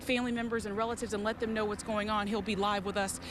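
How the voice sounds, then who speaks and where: A woman's voice: medium pitch, level tone, fast talker. A woman field reporter delivering urgent, ad-libbed breaking news live from an outdoor street. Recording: clear outdoor street and vehicle noise. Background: helicopters and vehicles.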